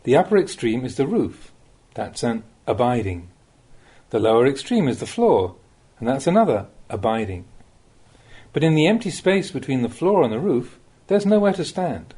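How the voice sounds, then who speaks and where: A man reading a Buddhist Dhamma talk aloud as a monk, in the measured, plain-spoken way of a teacher, with rhetorical emphasis. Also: medium pitch, sing-song, mellow, breathy edge.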